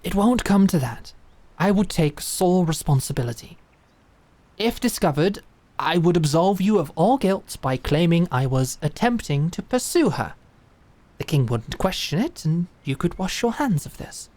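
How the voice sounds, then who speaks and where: A woman voicing an earnest, devoted female knight and sword instructor, formal and measured. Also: fairly low pitch, big pitch swings, a little mellow. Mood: resolute. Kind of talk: fantasy audio drama acting.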